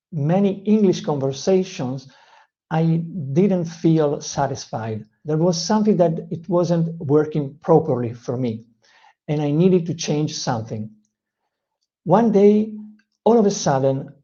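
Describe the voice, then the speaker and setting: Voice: mid-range voice, dark tone, dull audio. A speaker with an Italian accent, Italian by first language, giving an earnest motivational English lesson with frequent hesitations and self-corrections. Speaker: English-learning channel host. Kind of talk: motivational teaching talk.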